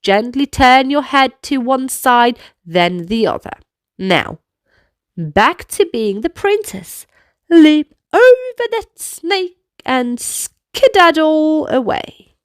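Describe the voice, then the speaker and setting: Female children's read-aloud narrator: high-pitched, very animated, unhurried delivery, speaking straight to little ones. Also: no echo, clean studio sound. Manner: animated, deliberate, addressing children directly. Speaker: children's storybook reader. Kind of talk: expressive read-aloud narration with movement prompts for young children.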